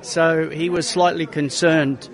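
A man's voice: fairly high pitch, fairly even intonation, takes it slowly, rough recording. Brief: male racing driver guest, easygoing storyteller, often restarts his sentences, outdoor city sound. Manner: rambling, many restarts, easygoing. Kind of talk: casual interview chat.

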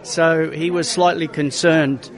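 A man's voice: a little high, crowd noise. A man, a racing driver telling stories in an interview, often restarting and repeating words. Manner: halting, repeats words, lively anecdotes.

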